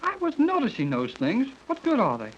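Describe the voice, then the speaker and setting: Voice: medium pitch, wildly swooping pitch, fairly quick. A performer playing an eager, wide-eyed youngster who gushes in folksy slang in a 1930s radio serial. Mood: eager, amazed. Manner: gushing, slangy exclamations. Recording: dull, noisy 1930s radio recording.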